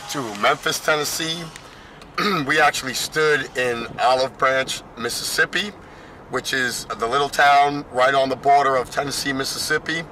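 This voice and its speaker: Hoarse middle-aged man vlogging over noise. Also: mid-range voice, bright, forceful tone. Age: 50s. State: hoarse, losing voice. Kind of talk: casual vlog chat. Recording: noisy car and outdoor ambience.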